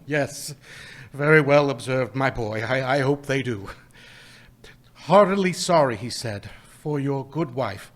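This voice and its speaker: An actor playing a gentle, poor father who speaks tenderly to his family about his lost little son in a live radio play. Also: medium pitch, a little slow, close to an everyday voice. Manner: tender, soft, choked-up.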